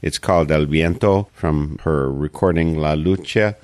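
A man hosting a radio interview program, speaking in earnest, measured appeals to listeners over a studio mic. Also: a bass voice, darkish tone, dry recording. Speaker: radio host.

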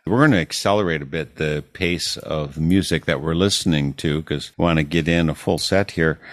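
A man's voice: sits low, dry recording, studio quality. A man hosting a community radio interview show, earnestly spelling out names and addresses and urging listeners to take part. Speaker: radio host.

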